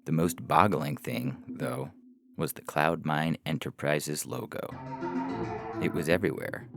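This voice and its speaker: A man's voice: very deep voice, unhurried, gravelly, over music. A man reading science fiction stories aloud as scripted narration for a podcast.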